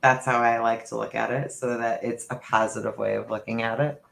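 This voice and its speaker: A man of middle pitch co-hosting a casual podcast, musing aloud and circling back on his points. His voice rings with a noticeable room echo. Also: level tone, fairly quick, creaky, fairly bright tone. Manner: rambling, reflective, talks through ideas aloud. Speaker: podcast co-host. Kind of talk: casual chat.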